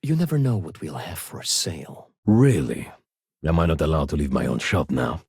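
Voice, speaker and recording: An actor playing a fussy, haughty luxury shopkeeper in a sci-fi video game, with a refined, expressive and snippy delivery. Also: male voice, very deep voice, moderate pace, gravelly, husky, no room sound, studio quality, full character voice. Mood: irritated, snippy. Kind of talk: video game voice acting, short standalone dialogue lines.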